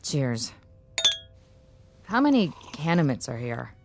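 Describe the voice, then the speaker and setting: A woman's voice: rumbling low, very animated, slow, vocal fry, over music, exaggerated voice. An actress playing a casual, wry female office assistant in a radio drama, speaking in a conversational, musing way.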